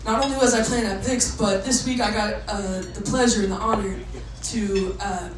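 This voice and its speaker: A woman in her twenties from Massachusetts, a saxophonist bandleader chatting excitedly with a club crowd between songs, laughing often. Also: medium pitch, normal speed. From Massachusetts, USA. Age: 20s. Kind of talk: live stage banter between songs. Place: live music club on stage. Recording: echoey club, distant mic, loud crowd and noise.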